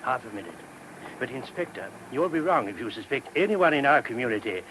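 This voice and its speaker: A man's voice: medium pitch, sing-song, fairly quick, close to an everyday voice. A man playing an anxious, courteous monk in a radio play, hesitant and apologetic in manner. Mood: troubled, earnest. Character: anxious, courteous monk guiding a police inspector. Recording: noisy old radio recording.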